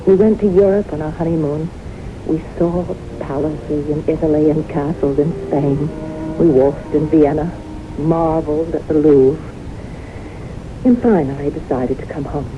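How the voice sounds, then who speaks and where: A woman's voice: sits low, measured pace. An actress playing a haunted, fearful, romantic woman of forty-one in an earnest, dramatic radio play style. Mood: wistful, reflective. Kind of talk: 1970s radio drama acting. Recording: muffled, noisy old radio broadcast.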